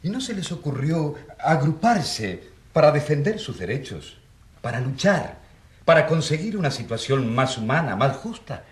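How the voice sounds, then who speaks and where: An earnest country priest in a radio drama. His slightly high male voice swings widely as he argues with indignant, rhetorical force. The sound is roomy and echoing. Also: big pitch swings, normal speed. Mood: grave questioning. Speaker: actor.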